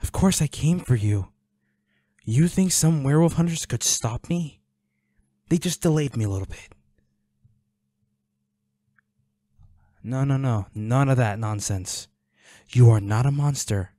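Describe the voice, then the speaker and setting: A man's voice: medium pitch, moderate pace, mellow, close to an everyday voice. A man playing a protective, worried boyfriend in an intimate audio roleplay, speaking in a pleading, soothing, urgent way. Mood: tender, firm. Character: protective, worried boyfriend tending his injured werewolf partner.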